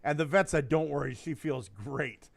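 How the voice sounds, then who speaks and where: A man hosting a casual group chat, telling stories in an animated way and swearing freely. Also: a little high, normal speed, vocal fry, over a noisy crowd. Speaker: host of a comedy hobby show.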